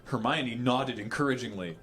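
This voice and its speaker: A man's voice: medium pitch, normal speed, a bit bright, a little hiss or hum. A man reading an absurd story aloud theatrically for comedy, prone to breaking up as he goes. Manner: theatrical, often breaking into laughter. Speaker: reader on a charity stream.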